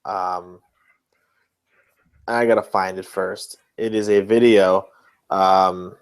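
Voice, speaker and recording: A man's voice: low-pitched, fairly even intonation, slow pace, smooth. A man co-hosting a podcast, reacting off the cuff in casual chat. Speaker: podcast co-host.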